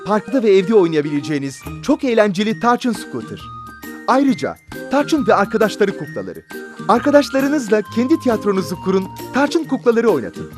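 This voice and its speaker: A woman's voice: medium pitch, big pitch swings, normal speed. Upbeat woman announcing a toy ad. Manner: upbeat, selling. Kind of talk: scripted toy commercial. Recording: with background music.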